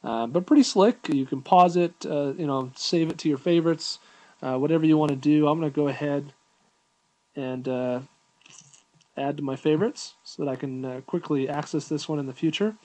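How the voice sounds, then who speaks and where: A man's voice: fairly high pitch, normal speed, darkish tone, rough recording. A man giving a casual, unscripted app walkthrough, explaining things in an informal, easygoing way as a reviewer.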